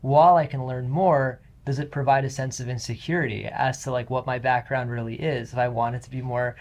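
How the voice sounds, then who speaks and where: A man's voice: mid-range voice, fairly even intonation, brisk, darkish tone. A young man speaking as a student guest on a casual discussion show, thinking aloud with many fillers.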